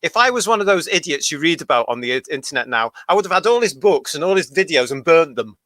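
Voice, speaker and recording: A man's voice: on the high side, big pitch swings, fast talker, sharp tone, no room sound. A man talking bluntly and with some grumbling in a live fan podcast discussion.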